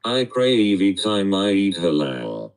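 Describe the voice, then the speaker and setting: A computer-generated male voice reading out short viewer messages with an even, synthetic delivery. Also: sits low, a little slow, clear, resonant tone. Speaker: text-to-speech reader of viewer chat.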